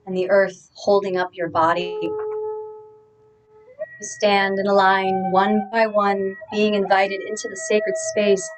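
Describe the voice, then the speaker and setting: A woman gives a guided, meditative talk on spiritual practice. Her delivery is gentle and deliberate, set out in short phrases with pauses between them. Her pitch sits in the middle range for a woman. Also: poor audio. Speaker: guest speaker and guide.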